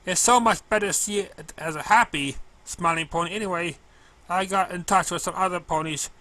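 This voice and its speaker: A man's voice: sits high, a little fast, sharp tone, pitched above the performer's own voice. A man voicing a cheerful, scatterbrained, kindly female pegasus pony who delivers mail, bubbly and bumbling, drawing out words in a cartoon fan story. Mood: affectionate.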